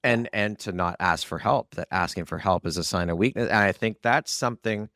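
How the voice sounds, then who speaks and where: A man's voice: on the low side, fairly quick, fairly bright tone, no room sound, clean studio sound. A man hosting an interview podcast in a conversational style. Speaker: podcast host.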